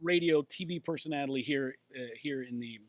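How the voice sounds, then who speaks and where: A man co-hosting a casual talk show from a bar, animated and jokey. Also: medium pitch, brisk, dry recording. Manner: animated, jokey, slips into playful accents. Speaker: co-host.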